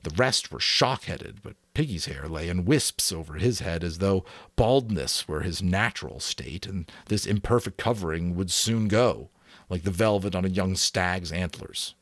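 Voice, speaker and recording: Deep, animated male storyteller. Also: unhurried, dry recording. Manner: expressive storytelling. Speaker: narrator. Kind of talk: dramatic audiobook reading.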